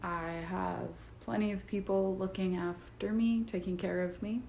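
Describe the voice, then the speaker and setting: A woman's voice: mid-range voice, fairly even intonation, unhurried, gravelly, dark tone. A young woman co-hosting a casual podcast, talking in a thoughtful, reflective way. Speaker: podcast co-host. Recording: echoey room with faint noise.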